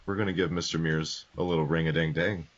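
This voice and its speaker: A man's voice: very deep voice, fairly even intonation, fast. A man hosting a casual podcast, joking and rambling, a little under the weather. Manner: rambling, joking, self-correcting. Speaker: podcast host. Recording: dull lo-fi podcast audio.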